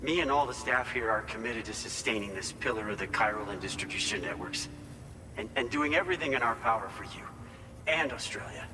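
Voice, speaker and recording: An actor playing an earnest, grateful facility staffer in a video game cutscene, speaking in a measured, polite, explanatory way. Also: male voice, mid-range voice, big-room sound, noisy background, close to an everyday voice. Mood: hopeful. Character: earnest male facility staffer briefing a porter, grateful and hopeful.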